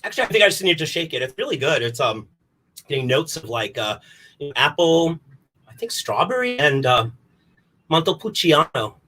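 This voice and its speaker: A man's voice: on the high side, very animated, moderate pace, bright, forceful tone, poor audio. A man performing a rambling comic monologue to camera, flustered, apologetic and self-deprecating, with theatrical exclamations. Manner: theatrical, exclaims in sudden outbursts. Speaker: comedic performer in an online showcase.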